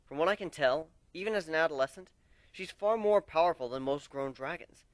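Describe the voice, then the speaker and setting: A man's voice: a little high, fairly quick, dry recording, voice pushed up, natural voice. Man playing a brash, emphatic griffin warrior. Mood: determined, emphatic.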